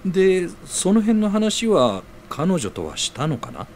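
An actor playing a gentle, considerate older-brother type with hesitant phrasing in an audio drama. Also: male voice, sits high, huge pitch swings, unhurried, slight background noise, exaggerated voice. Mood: gentle, thoughtful.

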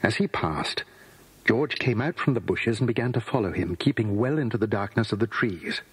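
A man's voice: on the low side, animated, normal speed, poor audio. A man narrating a scripted children's story.

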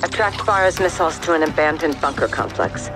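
Composed, low-voiced woman intelligence chief played by an actress. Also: brisk, over music, lightly acted. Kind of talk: cinematic military game acting.

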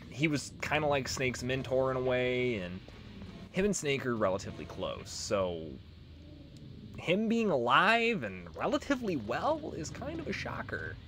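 A man's voice: medium pitch, sing-song, a little slow, fairly bright tone, a little hiss or hum. A man giving casual, informal let's play commentary as the host of a gaming video.

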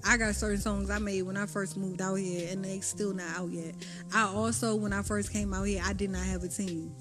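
A woman's voice: medium pitch, steady tone, fairly quick, music in the background. A woman musician in an interview, frankly and reflectively recounting her struggles, swearing casually. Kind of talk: candid interview answers.

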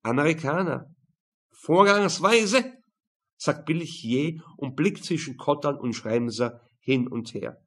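Male narrator, medium-pitched voice, scripted crime fiction reading. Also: measured pace, hardly any pauses, a little mellow, breathy edge. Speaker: audiobook narrator. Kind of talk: scripted narration of a crime novel, with acted dialogue.

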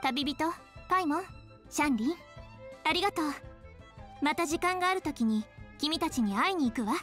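A woman's voice: high voice, animated, normal speed, fairly bright tone, soft background music. A woman voicing a poised, sincere young woman in a Japanese game, composed and articulate. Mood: polite, grateful. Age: young adult.

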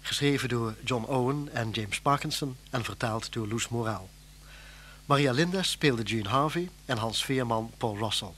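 A man's voice: medium pitch, fairly bright tone. Male radio announcer, faint background noise. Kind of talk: scripted credits announcement. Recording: faintly noisy old radio recording with hum.

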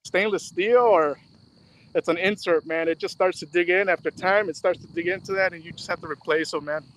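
A man's voice: high voice, fairly even intonation, brisk, fairly bright tone, a little hiss or hum, poor audio. Laid-back man fishing at night. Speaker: guest angler. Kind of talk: casual chat on a video call. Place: riverbank at night, outdoors.

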